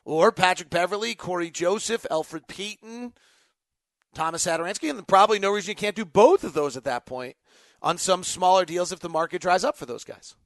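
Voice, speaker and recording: High-voiced man talking sports off the cuff. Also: brisk, a bit bright, dry recording. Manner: thinking aloud, emphatic, choppy fragmented phrasing. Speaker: sports podcast host. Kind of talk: unscripted basketball talk, answering fan questions.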